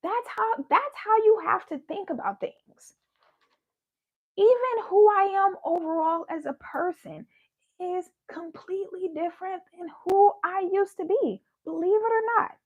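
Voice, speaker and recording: A young woman hosting a motivational livestream, earnest and goofy, laughing often, her voice going hoarse. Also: very high-pitched, measured pace, phone-quality sound. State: losing her voice. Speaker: livestream host. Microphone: phone or webcam by a ring light.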